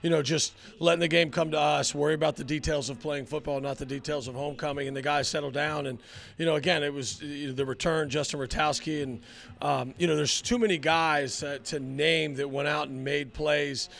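A middle-aged man, a head football coach, answering postgame questions in a halting way with many fillers, into a handheld mic indoors. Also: on the high side, steady tone, gravelly, fairly bright tone. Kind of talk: postgame interview. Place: indoor locker room area. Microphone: handheld mic held by interviewer.

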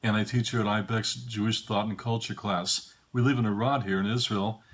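A man's voice: fairly low pitch, steady tone, fairly quick, fairly bright tone, breathy edge. A middle-aged man introducing himself casually as a teacher, speaking to camera in a classroom. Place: indoor classroom.

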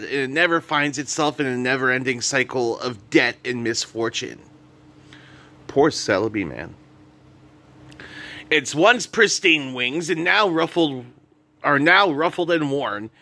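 Young male vlogger, slightly high, bright voice, slangy and playfully dramatic storytelling, close dry phone recording. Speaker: vlogger and host. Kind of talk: casual vlog storytelling, reading a short story aloud. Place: indoor room. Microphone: phone held at arm's length.

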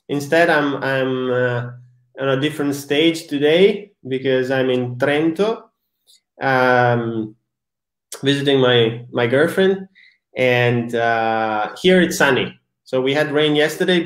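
A man with an Italian accent hosts a live online chat, speaking slowly and pausing often on fillers as he searches for English words. His voice sits at an average pitch and rings in an echoey room. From Italy. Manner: slow, hesitant, with many fillers. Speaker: host.

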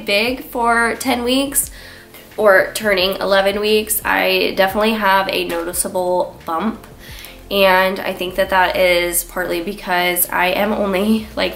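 A woman's voice: medium pitch, creaky, a bit bright. A young woman with a stuffy, congested voice, chatting casually to camera as a vlogger and filling her talk with "like". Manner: chatty, lots of filler "like". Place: small room indoors. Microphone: camera on a tripod, framed from the waist up.

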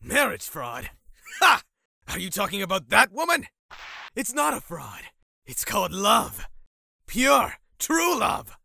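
A man playing a brash, sleazy swindler in his late thirties in a manga dub, loud and cocky. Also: high voice, wildly swooping pitch, slow, vocal fry, pauses often, dry recording, studio quality, exaggerated voice. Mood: cocky, boastful. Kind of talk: manga dub character acting.

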